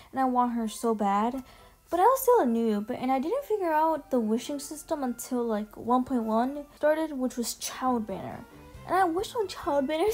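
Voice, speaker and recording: Higher-pitched, mellow young woman commenting casually. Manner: informal, slangy, emphatic. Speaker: video creator ranking game trailers. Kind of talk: casual opinion commentary, voice-over. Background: music under the voice.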